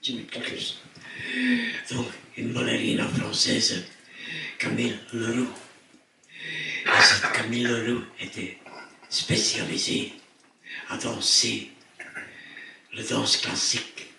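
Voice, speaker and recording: An older man from Belgium with a raspy, half-whispered voice after throat surgery, telling stories on stage slowly and haltingly as he searches for words. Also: mid-range voice, flat delivery, pushed and bright. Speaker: circus director, interviewee. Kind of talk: storytelling on stage. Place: theatre stage before an audience. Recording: echoey hall, distant mic, faint noise.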